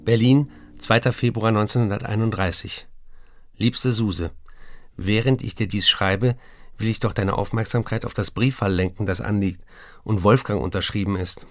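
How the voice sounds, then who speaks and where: An actor playing an affectionate writer reading his love letter aloud in a radio play, earnest, measured and formal. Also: on the low side, fairly even intonation, no echo, natural voice. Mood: confiding. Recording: close dry voice over music. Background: music.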